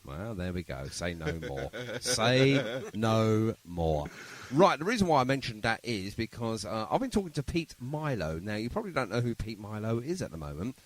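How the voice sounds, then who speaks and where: Chatty male radio host with lively pitch. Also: normal speed, few pauses. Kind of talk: casual radio interview and chat. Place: radio studio.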